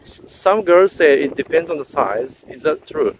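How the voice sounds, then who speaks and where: Lively man interviewing outdoors in noisy wind. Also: fairly high pitch, moderate pace. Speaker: street interviewer. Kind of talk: casual street interview. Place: outdoors at a beach and park. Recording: windy, noisy outdoor recording.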